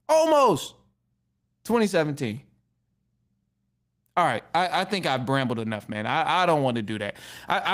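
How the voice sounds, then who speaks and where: A man's voice: high-pitched, a bit bright. A man hosting a sports podcast, ranting casually in an exasperated, rambling way with slangy repeats and mild swearing. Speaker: podcast host. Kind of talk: casual sports rant to listeners.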